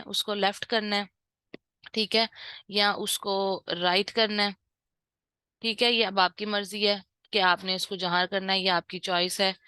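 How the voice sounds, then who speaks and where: Brief: bright, crisp-voiced woman, casual tutorial presenter, dry close sound. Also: medium pitch, no echo. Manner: informal, repeats "ठीक है" often, mixes in English terms. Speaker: online course instructor. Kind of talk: screen-share tutorial walkthrough.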